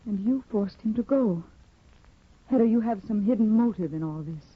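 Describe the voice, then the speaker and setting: An actress playing a timid, anxious young woman in a 1950s radio drama, with a breathy, pleading, nervous delivery. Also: mid-range voice, animated, normal speed, no echo. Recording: dull lo-fi 1950 radio recording.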